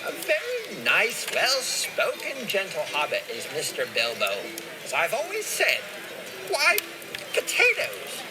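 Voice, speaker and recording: Casting: elderly rustic hobbit gardener, slow rambling gossip, hugely animated pitch, music underneath. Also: male voice, full character voice. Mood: fond, proud. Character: talkative old male hobbit gardener, folksy and opinionated. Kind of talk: dramatized audio play dialogue.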